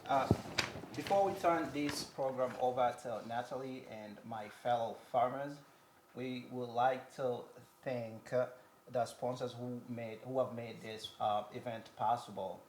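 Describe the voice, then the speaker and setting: A man from Somalia, a farmer and community organizer, speaking at a live event in a measured way with pauses. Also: medium pitch, steady tone, a little mellow, a little hiss or hum.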